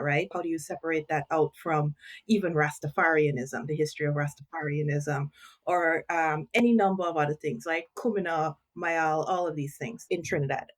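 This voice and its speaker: A middle-aged woman from Guyana speaks in a low voice as a podcast guest. She explains carefully and thoughtfully, pausing with frequent ums. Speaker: guest professor. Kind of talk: podcast interview.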